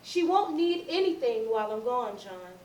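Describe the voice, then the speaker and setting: Stage drama: stubborn, suspicious mother in Southern dialect played by a woman, very high voice, noisy and echoey. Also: sing-song, moderate pace, a little mellow, recorded from a distance. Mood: wistful, coaxing. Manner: folksy, colloquial, wavering between coaxing and fury. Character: Emma, stubborn, suspicious mother who stalls and rages. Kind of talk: staged readers theatre, Southern period dialect.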